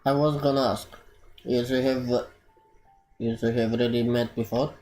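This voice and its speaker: A man's voice: mid-range voice, level tone, normal speed, over loud music. A man streaming a video game, commenting casually and reading dialogue choices aloud. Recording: faint background noise, game ambience.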